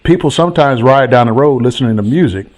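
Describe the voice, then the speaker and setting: A man at a middle pitch hosts a call-in chat as a coach. He talks in an animated, preachy way and repeats himself for emphasis. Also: fast talker. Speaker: host, real estate coach.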